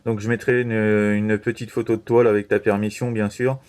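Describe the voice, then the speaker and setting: A man chatting casually as an amateur radio operator, halting and repeating himself with frequent verbal tags. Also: on the low side, little pitch movement, a little mellow, smooth. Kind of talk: casual CB radio contact chat.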